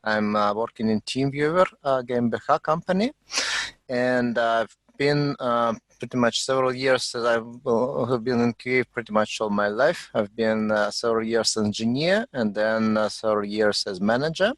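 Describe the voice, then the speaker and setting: A man's voice: medium pitch, normal speed, dry recording. Guy from russia on a video panel, lots of uhs, dry close sound. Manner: hesitant, many fillers. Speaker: panelist, development director. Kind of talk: casual panel talk.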